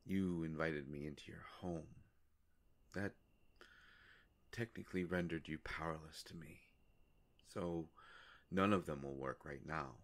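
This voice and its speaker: Man playing a slow, low, gravelly vampire. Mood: wry, explaining. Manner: slow, halting, musing, polite delivery with long pauses. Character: courteous, world-weary immortal male vampire, gently wry. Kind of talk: intimate roleplay audio monologue to the listener.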